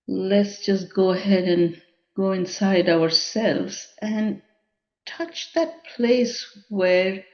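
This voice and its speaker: A woman leading a guided meditation in a measured, contemplative manner, leaving long pauses between phrases. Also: fairly low pitch, a little mellow. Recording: dull, muffled lo-fi sound.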